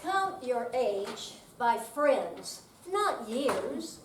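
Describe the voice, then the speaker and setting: A woman's voice: fairly high pitch, big pitch swings, very slow. An older woman reading aloud dramatically as an amateur readers theater performer in a senior center room. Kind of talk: slow dramatic reading on stage. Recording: distant, echoey room with faint noise.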